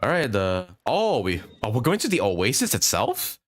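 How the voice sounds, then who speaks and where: A man giving casual, halting and rambling live commentary while playing a video game. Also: mid-range voice, huge pitch swings. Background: video game music.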